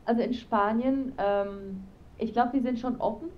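A woman's voice: a little high, a little slow, echoey room, recorded from a distance, dull audio, slight background noise. A young woman chatting as an interview guest over a video call with a headset, talking casually with lots of fillers. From Spain. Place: home room with neon sign, indoors.

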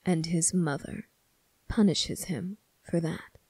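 A stern young demon prince, played by a woman, delivers a measured ASMR monologue to an angel. The words come very slowly in a lowish register, bitter and reproachful, with some phrases falling into breath. The recording is dry and free of room sound. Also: dark tone, dry recording, heavily acted. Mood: grave, indignant. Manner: slow, deliberate, accusing, with breathy whispered phrases. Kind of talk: scripted ASMR roleplay monologue.

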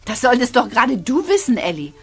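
A woman's voice: on the high side, big pitch swings, fairly quick. An actress playing a sceptical, level-headed teenage schoolgirl in a radio play, frank and teasing in a matter-of-fact way. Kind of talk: German radio play acting for young listeners.

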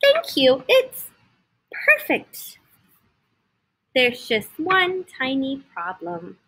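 A woman's voice: high-pitched, wildly swooping pitch, very slow, sharp tone, heavily acted, poor audio. A woman voicing a weary, polite traveling animal in a storybook, hesitant and earnest. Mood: touched.

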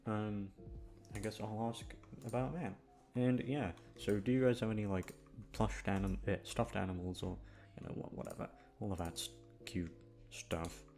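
A man's voice: on the low side, fairly even intonation, normal speed, a little mellow, faint music behind. A man chatting casually to his listeners, rambling in a downbeat, complaining way and swearing freely. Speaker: channel host, audio voice actor.

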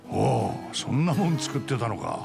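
Gravelly, gruff older buddy. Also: male voice, medium pitch, big pitch swings, normal speed, music in the background. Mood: familiar, teasing. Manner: blunt, familiar. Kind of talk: dramatic video game voice acting.

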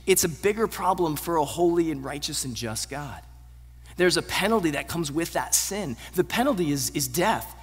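A man in his thirties preaching a sermon on a church stage, earnest, rising to emphatic peaks and then dropping low. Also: a bit bright. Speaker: pastor. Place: large church auditorium stage. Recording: long hall reverb.

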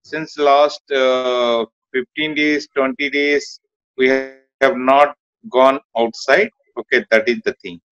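Man, from India, job candidate, small room, dull video call sound, halting, repetitive. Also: mid-range voice, steady tone, fairly bright tone, clean tone. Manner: halting, repeats words. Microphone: phone or laptop camera, video call. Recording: muffled video call audio.

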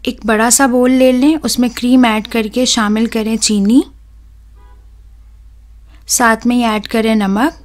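A young woman hosts a cooking video and walks the viewer through each step. Her voice sits a little on the high side. She speaks steadily and clearly, close to the mic in a voice-over. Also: a little slow, pauses often. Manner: steady, instructive, addresses viewers directly. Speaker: cooking channel host. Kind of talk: voice-over recipe tutorial.

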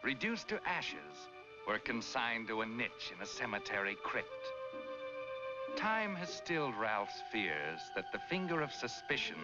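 An actor playing a knowing, taunting, ominous narrator in a 1940s film noir. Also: male voice, mid-range voice, big pitch swings. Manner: measured, ominous, sardonic. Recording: muffled 1940s film soundtrack under music.

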